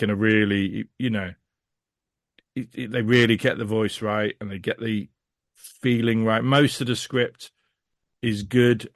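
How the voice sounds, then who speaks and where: Low-pitched man chatting casually. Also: no room sound, studio quality. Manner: rambling, emphatic, frank and sweary. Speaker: podcast guest reviewer. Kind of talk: casual review chat.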